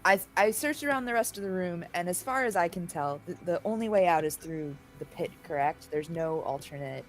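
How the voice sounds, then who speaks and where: A woman's voice: fairly low pitch, brisk. A woman chatting casually as a player in a role-playing game, questioning and thinking aloud. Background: music in the background.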